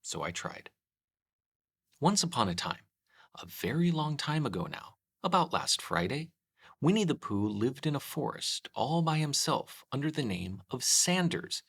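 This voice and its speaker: A man reading a children's story aloud in an expressive scripted narration. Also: medium pitch, unhurried, creaky, husky. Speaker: storyteller, host.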